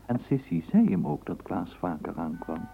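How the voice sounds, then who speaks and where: An actor narrating a literary radio play as a measured, lyrical male storyteller. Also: sits low, huge pitch swings, gravelly, exaggerated voice. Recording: muffled, noisy old radio play recording.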